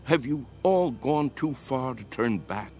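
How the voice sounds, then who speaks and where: A man's voice: mid-range voice, lively intonation, a little slow, darkish tone, slight background noise, close to an everyday voice. An actor playing a spirited, pleading old man in a radio drama, earnest, coaxing and dreamy in his delivery. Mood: urgent pleading.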